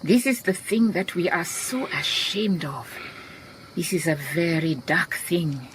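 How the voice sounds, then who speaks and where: An actress playing a calm, shrewd, kindly detective in a radio drama, with a Southern African accent and a measured, gently wry delivery. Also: female voice, lowish voice, background noise. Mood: grave.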